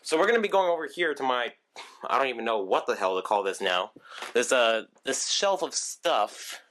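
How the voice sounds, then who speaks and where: A man's voice: mid-range voice, animated, normal speed, a bit bright. A man casually showing his weather radio collection on video, rambling with lots of ums and restarts. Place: room at home with shelves of radios.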